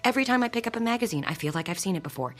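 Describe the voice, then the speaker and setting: A distraught wife in a radio drama, played by a woman, with a husky, breathy voice. She confides haltingly and anxiously, as if unsure of her own mind, with faint music behind her. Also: mid-range voice, animated, natural voice. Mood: anxious, bewildered. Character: distraught married woman confiding a strange experience to psychiatrist.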